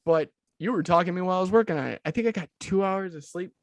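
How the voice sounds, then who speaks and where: A man hosting a podcast, talking excitedly and rambling with many restarts. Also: high voice, rapid-fire, no room sound, clean studio sound. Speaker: podcast host. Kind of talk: casual podcast chat.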